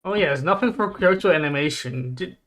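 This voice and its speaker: Bright-voiced man, halting casual delivery. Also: a little high, lively intonation. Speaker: co-host of an anime discussion livestream. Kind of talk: casual anime recap and chat.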